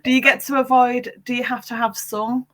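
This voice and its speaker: A woman's voice: fairly high pitch, level tone, a little fast, fairly bright tone. A woman hosting a panel discussion, chatty and a little hesitant with frequent fillers. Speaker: panel host.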